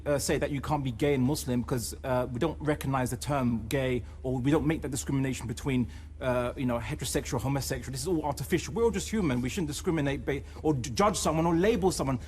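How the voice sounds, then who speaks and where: A man's voice: mid-range voice, quick pace, hardly any pauses, a little mellow, a little hiss or hum. A man on a debate panel arguing insistently, repeating himself and turning defensive when pressed. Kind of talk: studio debate argument.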